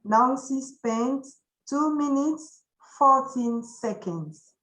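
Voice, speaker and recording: A woman reading out a timing report over a video call, slow and measured. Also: high voice, fairly bright tone, echoey room, low-quality recording. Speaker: meeting timer.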